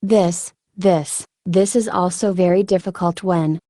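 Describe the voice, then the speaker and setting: A woman giving reflective interview answers in a halting, thoughtful way with frequent filler words. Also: lowish voice, steady tone, mellow, no room sound. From Ukraine. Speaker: military combat medic being interviewed.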